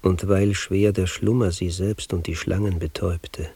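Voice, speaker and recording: An actor playing a solemn man who recites an ancient mythic tale in verse, in a grave, declamatory, husky manner for a radio drama. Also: low voice, fairly even intonation, normal speed, mellow, no echo, heavily acted.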